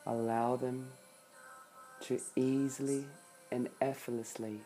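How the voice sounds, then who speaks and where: A meditation guide speaking soothingly and softly in short phrases with long pauses between them. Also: mid-range voice, little pitch movement. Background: background music.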